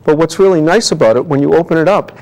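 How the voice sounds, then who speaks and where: A man's voice: fairly high pitch, fast talker, a little mellow, low-quality recording. A man speaking conversationally as an expert guest in an interview, explaining things with enthusiasm. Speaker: guest antiquarian book expert.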